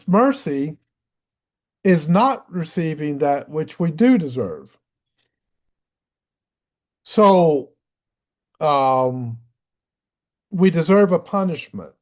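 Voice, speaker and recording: A man explaining theology off the cuff in a deliberate, didactic way, with halting pauses and a habit of clearing his throat. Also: on the high side, darkish tone. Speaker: religious teacher.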